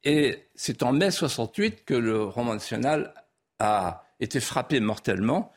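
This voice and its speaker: A man's voice: medium pitch, animated, a little slow. A man whose first language was a regional patois, a guest author in a studio interview, speaking in a lyrical, sweeping way that builds to emphatic peaks. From France. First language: Vendée patois.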